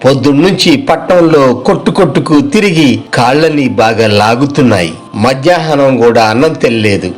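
A man's voice: medium pitch, animated, brisk, close to an everyday voice. Struggling shopkeeper husband in a moral tale, voiced by a man: tired, proud, firm and direct. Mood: tired, hungry. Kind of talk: moral story voice acting.

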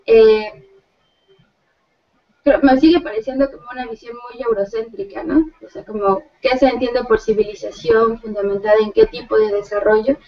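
A woman's voice: fairly high pitch, steady tone, normal speed. A young woman giving a seminar presentation in a living room, thoughtful and hesitant with many fillers. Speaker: student presenter. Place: living room with others on a sofa. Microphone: far laptop webcam. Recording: distant, muffled video call audio.

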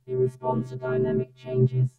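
A woman reading a scripted test sample in short deliberate statements, processed through a robotic vocoder or ring modulator effect. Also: a bass voice, completely flat delivery, smooth, narrow, tinny audio, soft background music.

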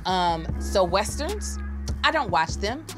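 A woman's voice: medium pitch, very animated, slow pace, over music. A woman giving a casual, candid commentary to camera as a contestant in a studio. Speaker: contestant, voice-over performer. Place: podcast studio.

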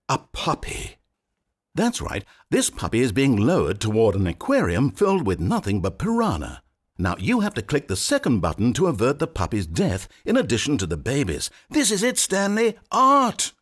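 A man's voice: mid-range voice, husky, full character voice. A man voicing a sarcastic, theatrical narrator in a video game, swinging between dry wit and grand rapture. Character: wry, theatrical male narrator of a game, adult.

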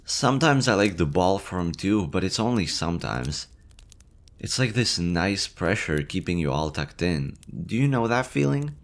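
Man playing a slime boy, nervous, gentle, deep-voiced, hesitant, audio roleplay. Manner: soft, hesitant, chatty. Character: nervous gentle male slime monster, young.

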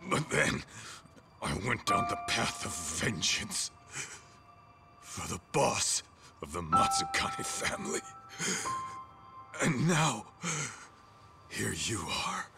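Gruff streetwise tough guy for a video game, gravelly voice, pitch moves around a lot, big music behind. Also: sing-song, full character voice. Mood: pained, pleading. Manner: gruff, earnest, deliberate. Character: tough, streetwise, protective brawler with a big heart. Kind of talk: dramatic video game dub acting.

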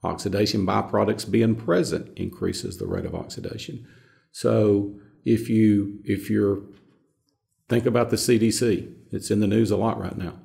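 A gravel-edged, mellow man in middle age speaks from deep in his range. He explains technical matters in a panel discussion, stressing points by repeating words. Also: expressive, moderate pace. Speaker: filtration subject matter expert. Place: small conference room, indoors.

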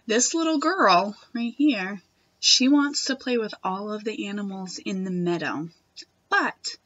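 A young woman reading a picture book aloud to children in a gentle, expressive manner. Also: medium pitch, no echo, lo-fi sound. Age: young adult. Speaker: storytime reader. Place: wood-panelled room at home.

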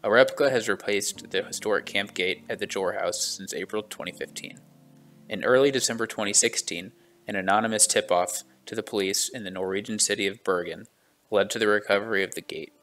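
A man with a fairly low voice reads a scripted voice-over for a walking tour, steady and solemn in his delivery. Soft guitar music plays faintly underneath. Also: fairly quick. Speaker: travel vlogger narrating a tour.

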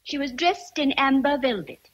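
Gossipy, animated motherly housekeeper. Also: sits high, normal speed, dull audio. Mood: admiring. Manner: chatty, savoring, emphatic. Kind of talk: vintage radio drama acting.

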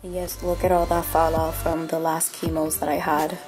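Young woman, raspy, under the weather, chatty beauty vlog, earbud mic, clear background music. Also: low voice, flat delivery, moderate pace. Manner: chatty, self-mocking, talks to viewers directly. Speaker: beauty vlogger. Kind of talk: casual chat. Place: small bathroom, indoors.

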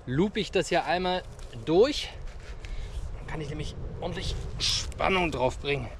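A man's voice: a little high, a little slow. A man in his thirties from Germany, talking casually and colloquially to his viewers in an outdoor how-to vlog. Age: 30s. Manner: colloquial, chummy address to viewers. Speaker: outdoor vlogger and host. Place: outdoors in a forest. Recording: clear background noise, outdoor ambience.

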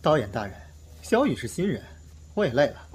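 Man acting a teasing, animated young film star. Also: mid-range voice, brisk, slight background noise, close to an everyday voice. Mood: calm, encouraging.

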